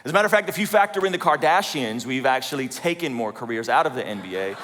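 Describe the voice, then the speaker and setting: A man's voice: mid-range voice, lively intonation, fast, very noisy. A man in his forties from Azerbaijan speaks on a conference stage, telling his own story with self-deprecating humor. His voice rings out with a long reverb tail from the large hall. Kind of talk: personal story talk to a conference audience.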